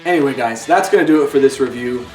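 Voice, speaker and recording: A man's voice: mid-range voice, fast, darkish tone, distant mic. A man hosting his own channel, giving an earnest, impassioned spoken review of a video game. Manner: earnest and impassioned, with pleading appeals. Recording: roomy echo, away from the mic, faint music.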